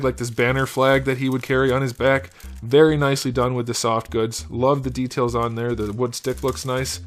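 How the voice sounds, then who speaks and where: A man with a hoarse, scratchy voice casually reviewing an action figure, thinking aloud with small fillers. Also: mid-range voice. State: hoarse, losing his voice. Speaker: toy reviewer.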